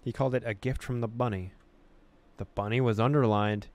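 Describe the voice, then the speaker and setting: A man hosting a gaming video, reading game text aloud in an expressive storytelling way with casual, amused asides. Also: mid-range voice, fairly quick.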